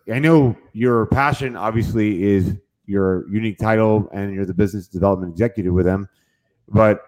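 A man's voice: on the low side, darkish tone, rough recording. Upbeat, rambling man hosting. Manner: upbeat and rambling, heavy on fillers. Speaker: podcast host, real estate agent. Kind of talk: podcast interview hosting.

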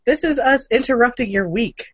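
A woman's voice: fairly high pitch, expressive, moderate pace, breathy edge, no echo. A woman co-hosting a casual gaming and comics news stream, speaking in a loose, informal way. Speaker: show co-host.

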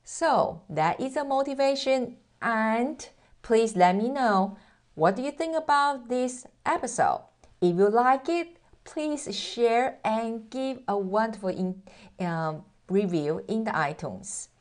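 A woman's voice: on the high side, lively intonation. A woman from Taiwan with a mixed accent built on Taiwanese Mandarin, giving an earnest, encouraging talk as a business coach. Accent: mixed, Taiwanese Mandarin-based with American and British traces. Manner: earnest, encouraging, slow and deliberate. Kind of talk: motivational vlog address.

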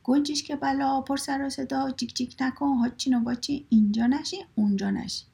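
A woman voicing fed-up townsfolk who chant a rhythmic scolding rhyme at a bird, in a breathy, husky voice. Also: high-pitched, steady tone, warm tone, voice pushed up.

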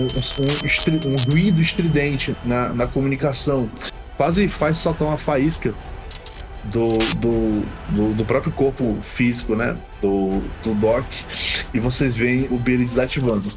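Brazilian man, game master, mid pitch, halting improvised narration, clear background music. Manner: halting, repeats words while improvising.

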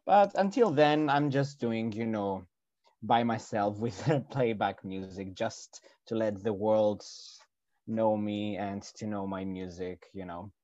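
Halting Greek-accented man, slow speech. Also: fairly low pitch, darkish tone, dry recording, highs cut off. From Greece. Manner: halting, many fillers, laughs often. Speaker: musician guest. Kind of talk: casual interview.

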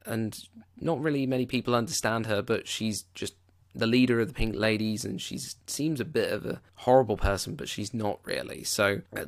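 A man's voice: on the low side, gravelly, fairly bright tone. A man hosting a film podcast, talking casually and enthusiastically through his favourite musicals with lots of "you know" fillers. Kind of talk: casual film review monologue.

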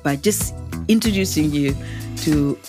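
A woman's voice: sits low, a little slow, over music. A woman hosting an interview podcast, talking with effusive praise for her guests. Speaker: podcast host.

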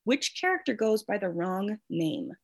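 A woman's voice: medium pitch, very animated, measured pace. A woman hosting a quiz as guest quizmaster in a casual, encouraging way.

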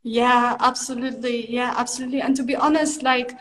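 A young woman from the Netherlands with a Dutch accent, speaking earnestly and reflectively as a podcast guest, with many pauses and fillers. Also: a little high, fairly even intonation, fairly bright tone. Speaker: guest, tantra teacher. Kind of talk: casual podcast conversation.

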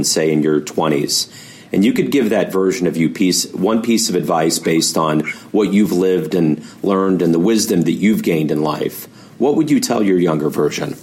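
A male podcast host with a very low, deep voice. He builds long, carefully framed questions before handing over to his guest. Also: darkish tone. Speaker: interview host.

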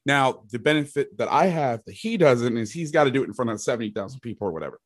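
Loud, rambling football coach. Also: male voice, medium pitch, expressive, quick pace, no room sound, clean studio sound. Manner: rambling, restarts sentences, occasional mild swearing. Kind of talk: casual podcast chat, explaining defensive schemes.

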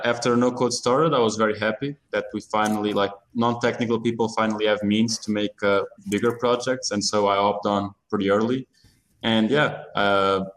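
A man's voice: lowish voice, a bit bright. A man from Portugal talks informally as an interview guest, often repeating words in stop-and-start phrases. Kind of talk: casual interview talk.

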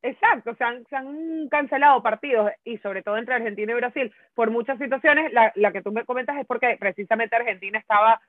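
A woman from Brazil co-hosting a casual sports chat, talking in an indignant, expressive way. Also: on the high side, a little fast, few pauses, no room sound. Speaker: co-host. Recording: tinny phone-like line.

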